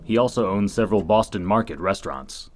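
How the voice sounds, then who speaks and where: An actor playing an earnest, dim young reporter in a comedic radio play, delivering lines in a deadpan, earnest manner. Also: male voice, on the low side, brisk, natural voice.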